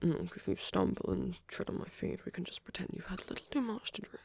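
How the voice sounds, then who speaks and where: A man playing a suave, flirtatious villain in an ASMR roleplay, speaking softly and intimately in a breathy half-whisper with a teasing, coaxing manner. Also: sits high, fast, no room sound, exaggerated voice. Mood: playful, reassuring. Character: suave flirtatious male villain wooing a hero at a masquerade.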